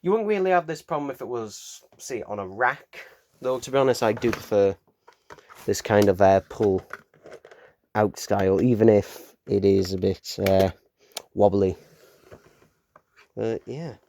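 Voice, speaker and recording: A British man with a croaky voice from hay fever, chatting casually as he unboxes and rambles with lots of fillers and restarts. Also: lowish voice, measured pace, long pauses, mellow, slight background noise. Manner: rambling, filler-heavy, self-correcting. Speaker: unboxing vlogger. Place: room at home with window open.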